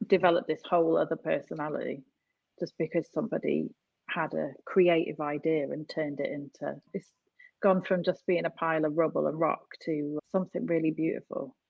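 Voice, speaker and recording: A woman with a low voice and a creaky, gravelly edge talks casually as a podcast guest. She is from North West England. The sound is close and dry. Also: fairly even intonation, brisk, no room sound, lo-fi sound. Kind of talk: casual interview chat.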